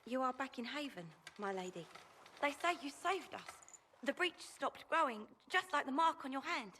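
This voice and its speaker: Fantasy game servant: meek, jittery young female, high-pitched, faint noise behind. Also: expressive, normal speed, pushed and bright. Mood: anxious, deferential. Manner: hesitant, apologetic. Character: timid, nervous, deferential young female servant. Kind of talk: dramatic video game acting.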